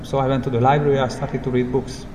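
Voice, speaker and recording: A man who speaks English as a non-native language, telling travel stories as a guest in a casual interview, in simple, halting, reflective phrases. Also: mid-range voice, flat delivery. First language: not English. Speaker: interview guest, long-term hitchhiking traveller. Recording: clear background ambient noise.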